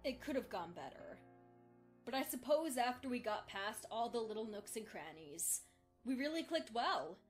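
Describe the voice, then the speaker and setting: A woman's voice: a little high, very animated, moderate pace, faint music behind. A woman playing a dutiful young pony student, polite, earnest and a little bashful, in an acted fan audio drama.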